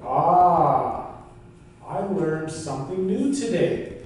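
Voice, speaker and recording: A man voicing a smug, foolish retired father in a folk tale, slow and knowing. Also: on the high side, expressive, darkish tone, a little hiss or hum. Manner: slow, knowing, self-satisfied. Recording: very echoey room, distant mic.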